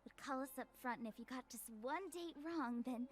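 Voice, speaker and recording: Woman playing a high-voiced, shy young student. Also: normal speed, lightly acted. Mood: reminiscing. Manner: hesitant, polite. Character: shy, earnest young female convent retreat student. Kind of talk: visual novel game voice acting.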